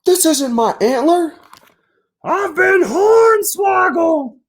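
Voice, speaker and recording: A man's voice: very high-pitched, expressive, slow pace, shouting, pitched above the performer's own voice, exaggerated voice. A man voicing a frantic, alarmed deer who exclaims his way through a children's picture book. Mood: shocked, outraged. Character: frantic male deer, victim of theft.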